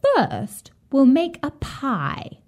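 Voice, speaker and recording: A woman voicing a wicked old witch from a fairy tale, jeering, bossy and falsely sweet, in a theatrical, drawn-out way. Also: high voice, wildly swooping pitch, a little mellow, voice pushed up, heavily acted. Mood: sly, wheedling. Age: elderly. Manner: theatrical, drawn-out, scolding delivery. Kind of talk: fairy-tale storybook character voice.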